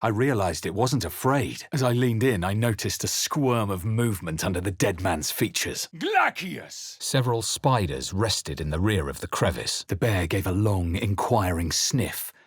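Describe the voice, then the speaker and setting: Low, husky fantasy adventurer. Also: male voice, very animated, moderate pace, few pauses, no echo, clean studio sound, full character voice. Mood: incanting, forceful. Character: male fantasy adventurer hero, earnest, wry, narrating his quest. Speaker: video game player-character voice actor. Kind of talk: dramatic game narration and short character barks.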